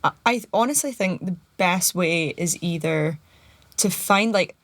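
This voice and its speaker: Scottish woman, low voice, dry sound. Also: a little slow, fairly bright tone, no room sound. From Scotland. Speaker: podcast guest. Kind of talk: casual chat.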